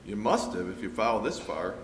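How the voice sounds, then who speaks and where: A man's voice: lowish voice, expressive, a little fast, close to an everyday voice. A man playing a hearty, folksy Old West marshal in a radio play, full of cowboy slang. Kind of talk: old-time Western radio re-enactment. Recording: echoey room with background noise.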